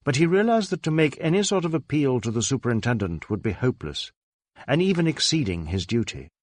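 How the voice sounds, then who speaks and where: A man's voice: medium pitch, big pitch swings, brisk, dry recording. A man reading a crime novel as a scripted, dramatic narration. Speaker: audiobook narrator.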